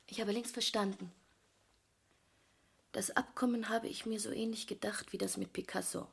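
A woman's voice: mid-range voice, fairly even intonation, moderate pace, vocal fry, slightly rough. An actress playing a self-possessed, hard-bargaining woman painter in a German radio drama, cool and assertive. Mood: cool, businesslike. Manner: cool, assertive, matter-of-fact.

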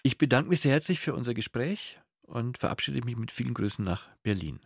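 A man's voice: medium pitch, animated, brisk, gravelly, husky. A man hosting a radio interview, putting questions and presenting in a studio.

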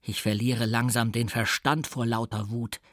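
A man's voice: medium pitch, little pitch movement, moderate pace, no echo, clean studio sound, close to an everyday voice. A man voicing a furious count in an audiobook, indignant, formal and threatening. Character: furious, threatening count trapped in another man's body. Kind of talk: dramatic audiobook character reading.

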